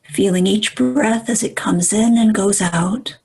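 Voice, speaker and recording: Female meditation guide; hushed, very airy tone, unhurried delivery, calm measured phrases with long pauses. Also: mid-range voice, faint music behind. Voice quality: soft, breathy, at times near whisper.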